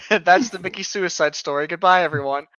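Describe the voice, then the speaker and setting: A man co-hosting casual comedic read-alouds of internet horror stories, sarcastic, irreverent and quick to swear. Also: sits high, bright, forceful tone, no echo, highs cut off. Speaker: co-host.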